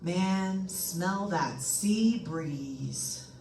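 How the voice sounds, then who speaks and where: A folksy, reassuring real estate agent from an old radio thriller, acted by a woman. The delivery is very lively and colloquial, full of salesmanship. It is picked up at a distance from the mic, with noticeable noise behind it. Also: lowish voice, very slow. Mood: breezy, persuasive. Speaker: radio play performer. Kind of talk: old-time radio suspense drama acting. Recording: roomy, distant microphone, clear background noise.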